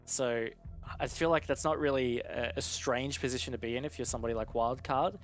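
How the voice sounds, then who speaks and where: A man giving conversational live esports analysis in a measured, explanatory and informal way. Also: mid-range voice, steady tone, soft background music.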